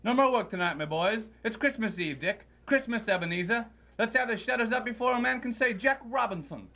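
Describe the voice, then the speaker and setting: A man's voice: very high voice, lively intonation, fairly quick, pushed and bright, exaggerated voice. A man playing a jolly, hearty old employer, calling out exclamatorily in a radio drama. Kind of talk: live student radio drama acting.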